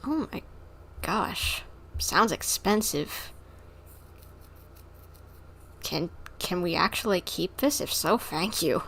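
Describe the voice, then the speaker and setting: A woman livestreamer giving casual game commentary, chatty, expressive and gushing as she reacts. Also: fairly low pitch, takes it slowly, gravelly, a little hiss or hum.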